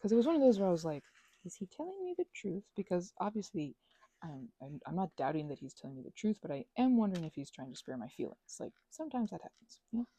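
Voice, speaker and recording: A woman rambling casually as she thinks aloud over a craft, halting and murmuring to herself, with playful asides to listeners. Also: fairly low pitch, very animated, warm tone, slightly rough, no room sound. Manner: halting, drifts into murmurs and self-talk. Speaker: ASMR and audio creator.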